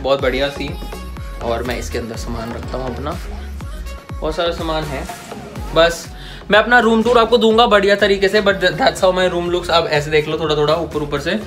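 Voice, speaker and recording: A man in his twenties giving a casual, jokey tour of his small apartment, talking into a phone held at arm's length and mixing English into his Hindi. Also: on the high side. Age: 20s. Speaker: vlogger. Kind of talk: casual home-tour vlog.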